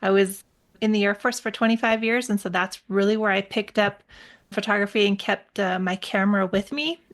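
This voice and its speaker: A middle-aged woman from the United States, a guest in an interview, explaining things in a steady way with a few restarts. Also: medium pitch, normal speed. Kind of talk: casual interview chat.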